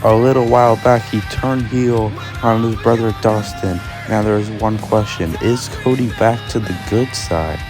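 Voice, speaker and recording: A man's voice: fairly low pitch, fairly even intonation, normal speed, few pauses, over music. A man doing pro wrestling commentary and introductions, drawing out his phrases as he announces.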